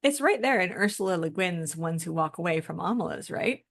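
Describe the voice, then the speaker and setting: A woman's voice: on the low side, lively intonation, brisk, vocal fry, a bit bright. A woman co-hosting a podcast, explaining and discussing things in an informal, conversational way. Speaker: podcast co-host.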